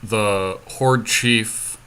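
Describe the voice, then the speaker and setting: A man's voice: on the low side, fairly even intonation, extremely slow, sharp tone, smooth. A man co-hosting a podcast, thinking aloud as he casually comments on his moves in a card game. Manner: thinks aloud, counting and reasoning through moves. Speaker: podcast co-host.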